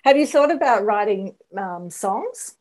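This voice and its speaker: A woman's voice: medium pitch, animated, a little slow, darkish tone. A woman hosting a casual author interview, talking in an effusive, gushing manner. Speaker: interviewer, host.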